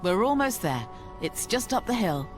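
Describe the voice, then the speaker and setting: A woman's voice: mid-range voice, sing-song, normal speed, music in the background, natural voice. A woman playing a bookish, shrewd adventurer in a fantasy video game. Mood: matter-of-fact.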